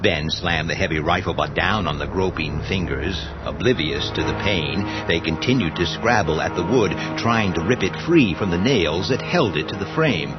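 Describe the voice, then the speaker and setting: Deep-voiced male horror narrator. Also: lively intonation, normal speed, hardly any pauses. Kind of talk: scripted horror story narration. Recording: dull audio with faint music.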